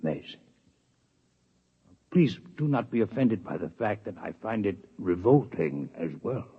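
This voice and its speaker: An actor playing a courteous, coolly curious six-legged alien scientist, in formal, precise 1950s radio drama style, on a dull old broadcast recording. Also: male voice, on the low side, sing-song, measured pace. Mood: inquisitive. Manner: formal, precise, politely detached. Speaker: alien visitor.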